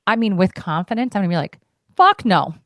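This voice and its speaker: A woman's voice: mid-range voice, a little fast, no room sound. A woman in her thirties hosts a podcast in a casual, heartfelt way, wearing her feelings openly and slipping into playful voices when she quotes her family. Her voice sits in an ordinary middle register. The sound is close and dry, with no room around it. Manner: passionate and expressive, heart on sleeve. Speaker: podcast host. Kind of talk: casual conversation.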